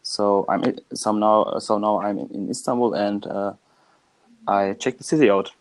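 A young man chatting casually in a calm, fluent manner as a language learner. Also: on the low side, normal speed. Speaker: language learner being tested in conversation. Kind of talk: casual chat.

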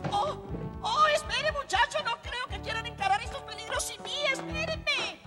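A cowardly little cartoon sidekick, squealing in an extremely high, whiny voice. He pleads and exclaims in long reverb over faint music. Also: moderate pace. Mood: alarmed pleading. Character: cowardly, whiny small male sidekick to a bandit chief. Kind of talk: dubbed animated adventure acting.

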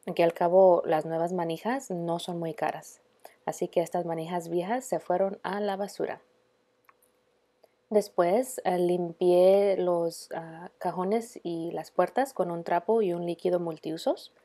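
A woman's voice: fairly low pitch, steady tone, a little slow, dark tone. A woman in her thirties casually narrating a home DIY vlog, dropping in the odd English word. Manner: casual, mixes in English words.

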